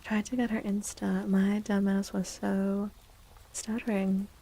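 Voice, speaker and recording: A soft-spoken woman hosting an ASMR livestream, gentle and unhurried, with a breathy, often whispered voice. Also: medium pitch, level tone, slight background noise, rough recording. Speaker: livestream host and tarot reader.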